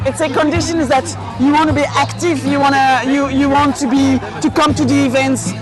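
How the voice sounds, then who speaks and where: A woman's voice: high voice, fairly even intonation, a little fast. Woman at a noisy event. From Belgium, Brussels. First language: French. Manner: many restarts and repeated words, chatty. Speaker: chamber of commerce representative, guest. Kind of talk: casual interview at an event. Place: busy outdoor food event. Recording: loud crowd and music behind.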